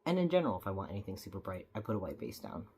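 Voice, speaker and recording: A woman's voice: rumbling low, very animated, very fast, mellow, slightly rough. A young woman, slightly stuffy from a cold, chatting casually as she explains each step of a makeup tutorial.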